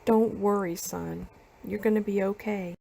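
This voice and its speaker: A woman voices a soothing, tender mother in a motion comic, medium in pitch with marked creak. Faint ambience is heard behind her. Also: steady tone, measured pace, warm tone, slight background noise. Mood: relieved, soothing. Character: tender, reassuring young mother among survivors.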